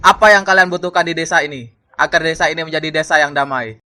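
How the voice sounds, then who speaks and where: A man's voice: a little high, fast, bright, forceful tone. A man playing a proud prince turned helpful wanderer in a folk tale cartoon, speaking formally and earnestly. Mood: earnest, resolute.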